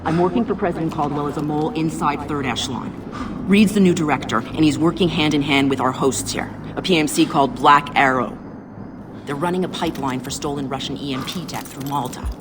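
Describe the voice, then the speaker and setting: A woman's voice: deep voice, fairly quick, background noise, natural voice. A woman playing an urgent, steely intelligence mole in a video game, with an American accent. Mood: urgent briefing.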